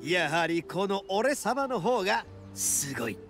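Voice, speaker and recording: A young male oni gang boss from an anime game, swaggering and big-hearted. His voice is high for a man and full of gravel. He talks rough and proud, over background music. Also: animated, measured pace. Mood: brash, boastful. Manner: swaggering, rough colloquial speech. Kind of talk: Japanese anime game voice acting.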